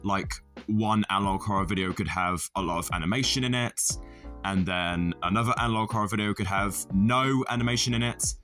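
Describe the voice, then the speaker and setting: A man giving a casual tutorial voice-over as a video creator, with deadpan, self-deprecating, sweary humour. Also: on the low side. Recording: music playing under the voice. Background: background music.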